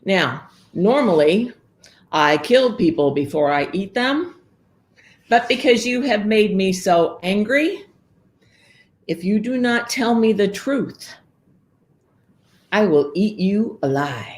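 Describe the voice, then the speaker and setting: A woman voicing a huge, angry male ogre who makes loud, drawn-out threats in a storytelling voice. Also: mid-range voice, expressive, pitched above the performer's own voice, lightly acted. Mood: furious. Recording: roomy echo.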